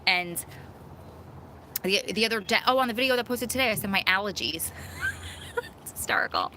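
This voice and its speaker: A woman's voice: medium pitch, brisk, bright, forceful tone, slight background noise. A woman in her thirties with a New York and New Jersey accent, chatting casually on a live stream, reading viewer comments and laughing at herself. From Long Island, New York. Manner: chatty, laughs often, self-mocking. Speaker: vlogger hosting a live Q&A.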